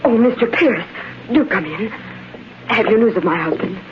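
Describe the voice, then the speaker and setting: A woman's voice: medium pitch, expressive, moderate pace, lightly acted. A woman playing an anxious, indignant wife in melodramatic old-time radio style. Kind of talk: 1930s-40s radio acting, melodramatic. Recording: muffled, very noisy vintage radio recording.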